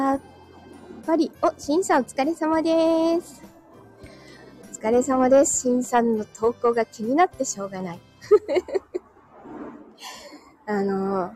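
A woman with a high, light voice hosts a casual livestream while walking outdoors. She is chatty and courteous, greeting viewers and often giggling. Her breath is a little short from the climb after being ill, and faint music sits behind her. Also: a little mellow, low-quality recording. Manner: chatty, polite, laughs often. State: breathless from walking uphill, recovering from illness. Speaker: livestream host. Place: outdoors, walking on narrow hilly street.

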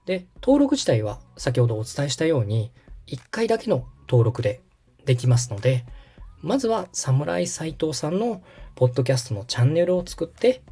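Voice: mid-range voice, warm tone, husky. A podcast host explaining things step by step in a tutorial manner and checking that the listener follows. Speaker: host and radio director giving a tutorial.

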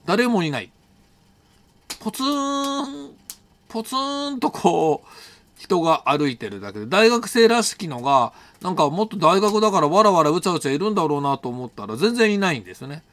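A man casually telling a personal travel story, colloquial and animated, acting out bits of dialogue. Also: high voice, normal speed. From Japan. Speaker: solo audio storyteller, host.

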